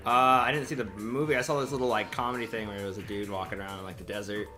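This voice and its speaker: A man's voice: lowish voice, steady tone, rapid-fire, over music. A man co-hosting a podcast, chiming in casually with blunt, slangy remarks and swearing. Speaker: podcast co-host.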